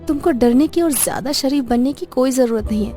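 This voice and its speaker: A man voicing a seductive, cruel female ghost bride in an animated horror story. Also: very high-pitched, fairly quick, faint music behind, pitched above the performer's own voice. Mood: teasing. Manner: teasing, menacing.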